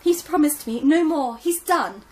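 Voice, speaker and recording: Brief: a woman doing a desperate, high, sobbing old granny for a rhyming storybook. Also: expressive, measured pace. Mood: pleading. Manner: wailing, high and tearful. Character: frantic old grandmother, wolf-disguised, pleading.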